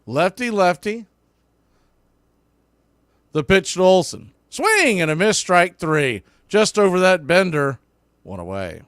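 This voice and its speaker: Man calling baseball play-by-play, fairly high voice. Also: very animated, slow, long pauses, a bit bright, clean tone, dry recording, studio quality. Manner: folksy, partisan home-team enthusiasm. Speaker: baseball play-by-play announcer for a fan stream. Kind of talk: live sports play-by-play commentary.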